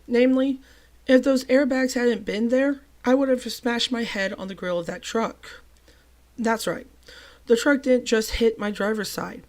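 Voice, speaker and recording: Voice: medium pitch, measured pace. A young adult host telling a personal story in a chatty, self-deprecating way, voice still a little off from a cold. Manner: chatty and self-deprecating, with lively emphatic bursts. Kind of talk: storytime narration.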